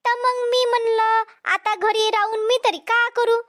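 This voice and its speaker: A woman's voice: very high voice, level tone, a little slow, fairly bright tone. A woman playing a chatty, helpful village farm worker in a cartoon comedy, speaking homely Marathi with a Vidarbha accent. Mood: friendly chatty.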